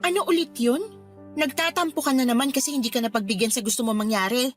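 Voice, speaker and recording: A woman's voice: fairly high pitch, fast talker, faint music behind, lightly acted. A woman playing a hurt, stubborn young girlfriend in a radio drama, with a pouty, reproachful manner. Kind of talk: Tagalog radio drama acting.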